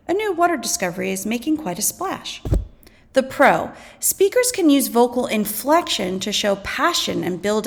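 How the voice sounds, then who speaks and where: A woman teacher reading a scripted lesson aloud, deliberately and clearly enunciated, pausing between items. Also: fairly high pitch. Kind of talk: scripted educational read-aloud.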